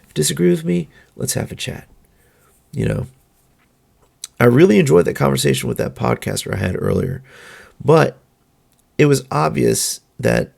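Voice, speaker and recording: Gravelly-voiced man hosting a podcast. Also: mid-range voice, a little mellow. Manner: earnest, measured, halting with fillers. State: hoarse, losing his voice. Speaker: podcast host. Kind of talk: solo opinion monologue.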